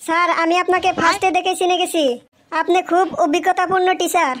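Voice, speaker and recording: A woman's voice: very high voice, little pitch movement, quick pace, a bit bright. A woman voicing a polite but cheeky, stubbornly earnest schoolboy in a comedy cartoon. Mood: eager, earnest.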